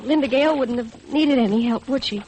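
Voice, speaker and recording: Actress as a timid high-voiced young woman. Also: fairly quick, darkish tone, lightly acted, low-quality recording. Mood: meek, wistful. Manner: timid, hesitant. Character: helpless, apologetic, fragile young woman at sea. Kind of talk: 1950s radio melodrama acting. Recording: old radio recording, faint noise.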